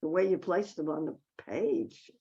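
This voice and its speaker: Woman in her 60s, gravelly and husky, gushing praise, dull video call audio. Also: low-pitched, moderate pace, warm tone. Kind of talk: casual chat.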